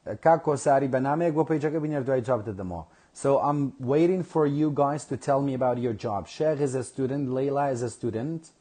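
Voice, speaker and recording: A man teaching a live online English lesson, speaking slowly and repeating phrases for his learners. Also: medium pitch, mellow. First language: Kurdish.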